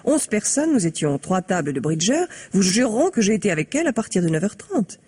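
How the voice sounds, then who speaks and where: An actress playing a composed, guarded married woman in a French radio mystery, speaking in a measured, formal, carefully worded way. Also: medium pitch, very animated, dark tone, husky. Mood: composed, defensive.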